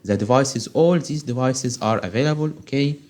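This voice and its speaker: A man's voice: medium pitch, normal speed, darkish tone. Man tutoring step by step, Arabic first language. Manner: explains step by step, often checks with "okay?". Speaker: software testing tutor. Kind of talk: screen-recorded tutorial walkthrough.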